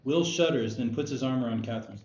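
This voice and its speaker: A man narrates stage directions at a screenplay table read. His voice is mid-pitched, with room echo and faint noise around it. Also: fast, darkish tone. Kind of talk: scripted narration. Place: roomy indoor table read. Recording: echoey room, dull sound, faint noise.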